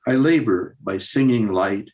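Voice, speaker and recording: Slow, lowish-voiced older man reciting poetry. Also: darkish tone, poor audio. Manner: deliberate, pausing between short phrases. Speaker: poetry reader.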